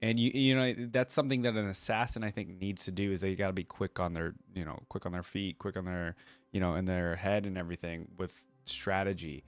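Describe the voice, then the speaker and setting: A man giving casual reaction commentary as a video host, informal and thinking out loud. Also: low voice, fairly even intonation, a little fast. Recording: music behind the voice. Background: game cinematic and music playback.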